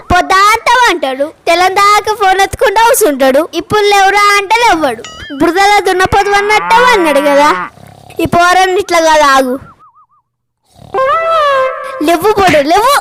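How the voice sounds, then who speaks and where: Woman voicing a shrill, scolding old Telangana granny. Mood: nagging. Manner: nagging, scolding. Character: scolding old village grandmother, nagging and sharp-tongued. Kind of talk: cartoon comedy dubbing in dialect. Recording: loud noise behind the voice.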